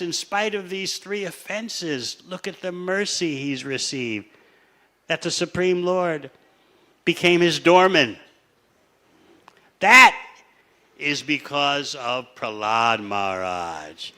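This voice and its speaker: A man teaching a scripture class, telling the story in a measured way and laying out his points one by one. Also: mid-range voice, pushed and bright. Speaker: spiritual teacher.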